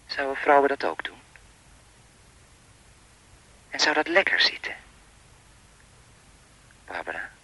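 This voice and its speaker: A menacing anonymous caller in a radio drama. His voice is strikingly sharp and forward, recorded very dry, with animated intonation. He rambles in an insinuating, falsely polite way, chatty and lewd as he taunts. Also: mid-range voice, normal speed, no room sound, low-quality recording. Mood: lewd, teasing. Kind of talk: radio play acting.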